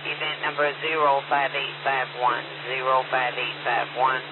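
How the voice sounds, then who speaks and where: A man's voice: mid-range voice, little pitch movement, normal speed. A man working as a police dispatcher, speaking in a clipped, procedural way over the radio. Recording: noisy narrowband police scanner audio.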